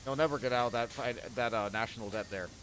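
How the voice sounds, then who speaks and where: A man's voice: mid-range voice, fast, fairly bright tone, a little hiss or hum, poor audio. A young man hosting a casual gaming stream, rambling and laughing often as he plays. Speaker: streamer host.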